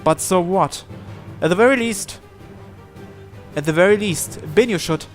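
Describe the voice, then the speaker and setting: A man reading a visual novel aloud as dramatic first-person narration, in a theatrical, deliberate way with short phrases. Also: sits high, faint music behind.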